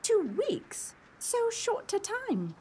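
A woman playing a gentle, wistful young cottage maid in an earnest radio play. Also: very high-pitched, huge pitch swings, takes it slowly, exaggerated voice, rough recording.

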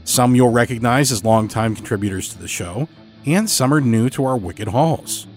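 A male podcast host reads scripted narration for a horror show. His voice is medium-pitched, with music playing behind him.

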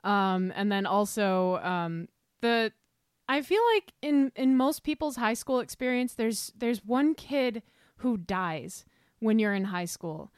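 A woman's voice: mid-range voice, slow, clean tone, no room sound, clean studio sound. A woman co-hosting a casual podcast, speaking personally in a reflective, earnest way. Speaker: podcast co-host.

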